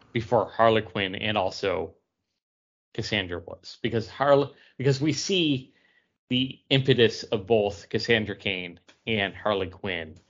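A man's voice: lowish voice, a bit bright, lo-fi sound. A man casually talking through a story as a podcast co-host. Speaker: comic book podcast co-host. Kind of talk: casual book discussion.

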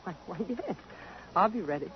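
A woman's voice: lowish voice, animated, moderate pace, slight background noise, natural voice. An actress playing an earnest, polite yet spirited young career woman in a 1950s radio drama, speaking directly. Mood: gracious. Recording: muffled old radio broadcast.

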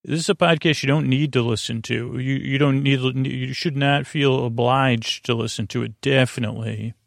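A man's voice: mid-range voice, steady tone, vocal fry, no echo, studio quality. A man hosting a sleep podcast, giving a soothing, meandering monologue that halts, corrects itself and drifts into tangents. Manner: rambling, halting, frequent tangents and self-corrections; soothing, lulling delivery. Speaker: podcast host.

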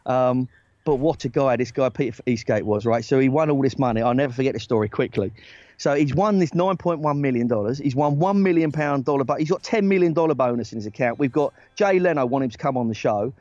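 A man, a talent agent and guest on a podcast, telling stories in a loose, animated way with lots of "right?" tag questions. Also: mid-range voice, fast, dry recording. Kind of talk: casual storytelling chat. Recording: dry, dull lo-fi sound.

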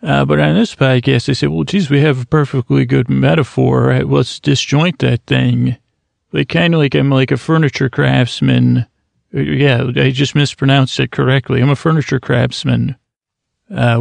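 A man's voice: medium pitch, vocal fry, a little mellow, no room sound. A man hosting his own podcast, rambling and self-mocking, often laughing at his own jokes. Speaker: podcast host. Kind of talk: rambling solo podcast intro.

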